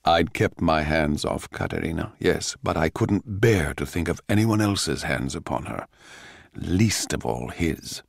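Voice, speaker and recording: A man playing a bitter, envious male court composer, narrating in a measured, theatrical, sardonic way for radio drama. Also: very deep voice, lively intonation, vocal fry, dry recording, studio quality, voice pushed down, heavily acted. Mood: bitter, awed.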